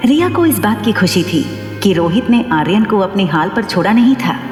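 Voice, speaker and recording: Animated woman narrator with echoing reverb. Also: medium pitch, normal speed, faint music behind. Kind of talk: scripted dramatic story narration. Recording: echoey long reverb.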